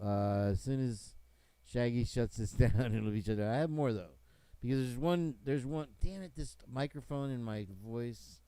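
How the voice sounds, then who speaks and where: A male host chats casually on a livestream, joking and wandering from topic to topic. His voice is smooth and resonant, at an ordinary pitch. The recording is dry, with no echo. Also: moderate pace, warm tone. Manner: joking, rambling, laughs often.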